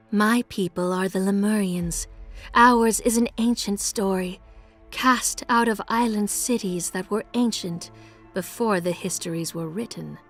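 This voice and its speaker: An actress playing an exalted, haughty and seductive high priestess, delivering a theatrical, incantatory monologue for a fantasy video game. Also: female voice. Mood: solemn storytelling. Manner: grandiose, incantatory, sensual.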